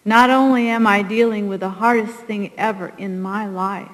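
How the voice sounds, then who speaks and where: A woman's voice: medium pitch, fairly even intonation, moderate pace. A middle-aged woman, a soldier's mother, reads her own emotional poem in emphatic, weighted phrases. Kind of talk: emotional poetry reading. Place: large hall at a public ceremony. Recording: echoey hall with long reverb.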